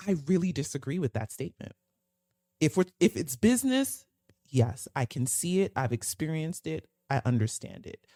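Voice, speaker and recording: A man's voice: mid-range voice, no room sound, studio quality. A young American man co-hosting a podcast, talking emphatically and thinking aloud in casual discussion. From United States. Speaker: podcast co-host.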